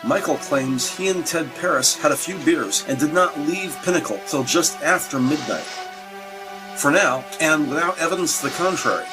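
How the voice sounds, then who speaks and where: A man's voice: a little high, rough recording. An American man reading scripted crime-drama narration. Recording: music behind the voice.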